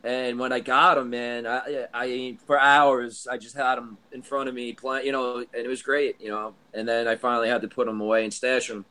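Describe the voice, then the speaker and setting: Man in his thirties, podcast host, enthusiastic, halting, mid pitch. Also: little pitch movement, bright, forceful tone, clean tone. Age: 30s. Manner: enthusiastic, halting, many restarts. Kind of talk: casual podcast chat.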